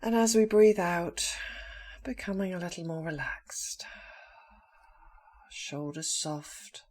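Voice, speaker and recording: Low, breathy woman guiding meditation. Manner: calm, slow phrases with long pauses. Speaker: meditation teacher. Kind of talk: guided meditation.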